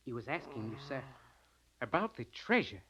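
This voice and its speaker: A man's voice: mid-range voice, big pitch swings, normal speed, fairly bright tone, husky. An actor playing a respectful, anxious naval officer reporting to his superior in a 1940s radio drama, on a dull old recording. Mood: deferential, anxious. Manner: respectful, hesitant subordinate. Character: deferential, anxious naval chief warrant officer.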